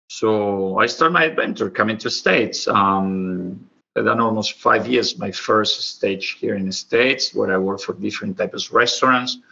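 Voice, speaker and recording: A man's voice: lowish voice, gravelly, bright, forceful tone, breathy edge, lo-fi sound. A man in his forties with an Argentine Spanish accent, a chef chatting expressively and informally in non-native English as an interview guest. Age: 40s. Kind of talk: conversational interview storytelling.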